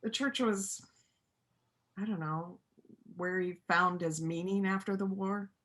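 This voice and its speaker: A woman acting as a kind farm widow in a stage reading, with a bright, forward voice at a medium pitch. She speaks plainly and gently, with quiet earnestness. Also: a little slow, lightly acted. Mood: reflective, earnest. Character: Iris, kind widowed farm-animal worker and painter. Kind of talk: stage reader's theater dialogue.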